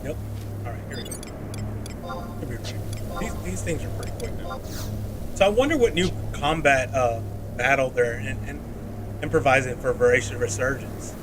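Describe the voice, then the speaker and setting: A man's voice: medium pitch, moderate pace, long pauses, heavy background noise. A man streaming a video game, talking casually and thinking aloud as he plays. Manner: rambling, thinks aloud with restarts. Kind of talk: casual commentary while playing. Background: game music.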